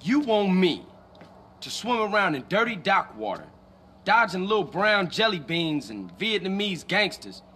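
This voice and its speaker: A man's voice: sits high, sing-song, unhurried, pushed and bright, slightly rough, exaggerated voice. A man playing a young, cocky, wisecracking American street gangster in a video game cutscene, talking in brash slang. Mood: joking, skeptical.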